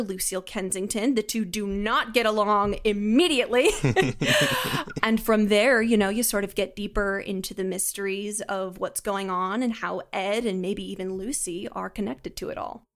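A young adult woman answering interview questions as a podcast guest, conversational, articulate and enthusiastic. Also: mid-range voice. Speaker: audio drama creator, podcast guest.

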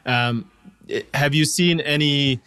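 A man's voice: fairly high pitch, slow, pushed and bright, smooth. A man hosting a podcast interview, asking questions in a casual, encouraging way with hesitant fillers. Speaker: podcast host.

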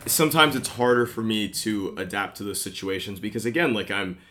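A man's voice: fairly low pitch, fairly quick, bright, forceful tone. A man in his late twenties, a podcast guest, talking in a hesitant, rambling way full of restarts and filler words. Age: late 20s. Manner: hesitant, lots of "like" and "you know", restarts. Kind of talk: casual chat.

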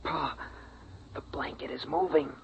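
A young American man playing a frightened, watchful boy who narrates his tale in a hushed, suspenseful way for a 1950s radio drama. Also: on the high side, steady tone, a little slow, slight background noise. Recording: muffled old radio recording with music.

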